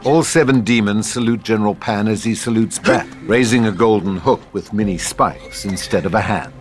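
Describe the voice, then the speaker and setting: A man's voice: on the low side, normal speed, faint music behind. A man reading stage directions and scripted narration for an audio drama table read.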